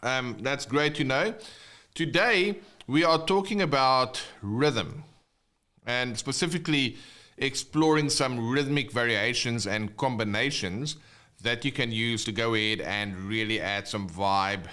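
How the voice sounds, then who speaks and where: Male guitar tutor, South African accent, English second language, smooth clear voice at a middling pitch, informal livestream teaching. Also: a little slow, pushed and bright. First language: not English (English is second language). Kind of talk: casual live online lesson, chatting with viewers.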